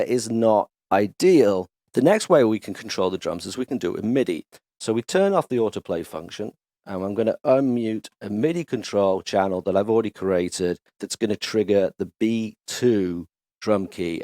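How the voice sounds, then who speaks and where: A man hosting a casual music production tutorial, chatty and enthusiastic as he walks through software instruments in his home studio. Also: on the low side, moderate pace, no echo. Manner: relaxed, chatty, enthusiastic demonstrator. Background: instrument demo music.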